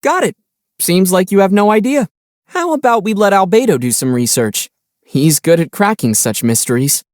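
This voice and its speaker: A man playing a calm young adult traveler hero in a video game, with a playful, teasing and wry way of talking. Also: sits high, normal speed, dry recording, clean studio sound.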